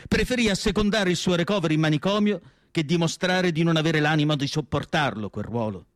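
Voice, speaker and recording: Man acting melancholy psychiatric doctor, radio drama monologue, slightly high male voice, emphatic delivery, deliberate pauses, dry studio sound. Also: animated, no echo, natural voice. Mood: grave, intense. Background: music.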